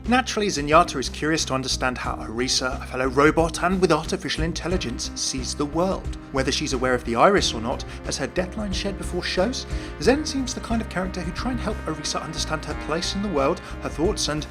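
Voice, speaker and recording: A man's voice: on the high side, animated, quick pace. A man giving a scripted commentary on video game lore. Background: faint music.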